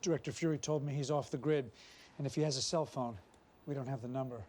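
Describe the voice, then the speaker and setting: A man's voice: medium pitch, fast talker, lightly acted. An actor as a wry male agent leader. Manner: wry, deadpan.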